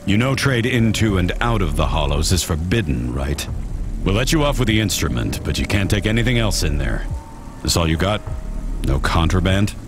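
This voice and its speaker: A man's voice: low voice, sing-song, normal speed, background noise. A man playing a by-the-book, mild-mannered checkpoint guard in a video game, plain-spoken and officious. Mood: casual, officious.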